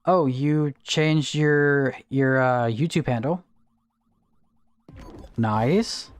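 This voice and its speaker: A man's voice: mid-range voice, extremely slow, clear, resonant tone, dry recording, clean studio sound, faint music behind. Chatty young man streaming a game. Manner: chatty, greets viewers and explains game items. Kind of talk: casual livestream chat while gaming.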